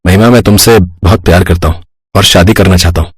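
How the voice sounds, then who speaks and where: Man acting a low, husky, cheerful young brother. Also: steady tone, a little fast, no echo, studio quality. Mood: earnest. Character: doted-on young brother, cheerful, affectionate, reassuring.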